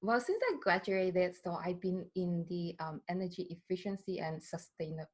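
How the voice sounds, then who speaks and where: Brief: woman, 30s, from southern China, husky lowish voice, halting and filler-heavy. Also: darkish tone. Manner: hesitant, many fillers and restarts. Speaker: skincare brand founder, guest. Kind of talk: casual interview.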